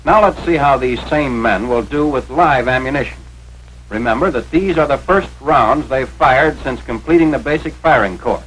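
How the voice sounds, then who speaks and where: An American man with a mid-pitched voice narrates in the manner of a 1940s military training film. His delivery is authoritative and instructional, with clipped, formal phrasing. The vintage soundtrack carries a light layer of noise. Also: animated, moderate pace, fairly bright tone, poor audio.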